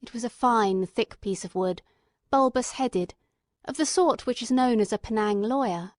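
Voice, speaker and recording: A woman voices an earnest, eager male companion telling a detective story. The voice is fairly high, with careful, literate audiobook phrasing. The recording is dry, with hardly any room sound. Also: normal speed, no echo, lightly acted. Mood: composed. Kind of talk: scripted audiobook narration.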